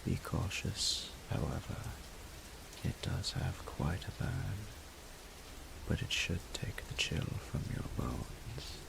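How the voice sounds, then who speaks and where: Deep gravelly voice, male actor as haughty ancient dragon, flirtatious, formal, imperious, roleplay audio. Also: expressive, background noise, full character voice. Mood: hospitable, commanding. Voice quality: breathy, gravelly low voice. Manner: formal, imperious, slow and deliberate.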